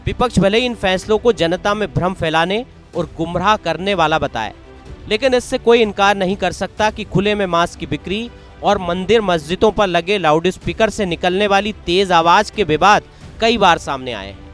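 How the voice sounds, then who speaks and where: Man, high pitch, scripted news narration, emphatic, formal, light music bed. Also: moderate pace, fairly bright tone. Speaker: news presenter. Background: background music.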